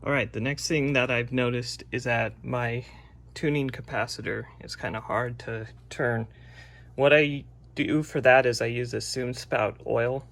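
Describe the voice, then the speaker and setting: Gravelly man narrating a hands-on repair. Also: mid-range voice, fairly even intonation, unhurried, a bit bright. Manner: plain-spoken, unscripted step-by-step explaining. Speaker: radio restorer presenting a repair vlog. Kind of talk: casual hands-on tutorial narration.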